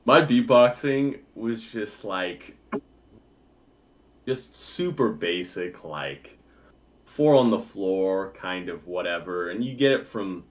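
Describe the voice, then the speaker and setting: A young man and bass singer, a guest chatting casually in an interview, easygoing and full of filler words. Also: animated, slow. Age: young adult.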